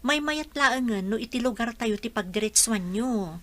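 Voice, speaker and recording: A woman's voice: a little high, expressive, moderate pace, a bit bright. A woman playing a caring older village mother in a radio drama, coaxing and advising. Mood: concerned, advising.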